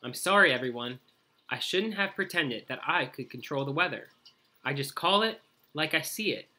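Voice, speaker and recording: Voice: mid-range voice, lively intonation, normal speed, sharp tone. A man voicing an anxious, people-pleasing groundhog in a children's storybook, earnest and pleading. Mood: apologetic.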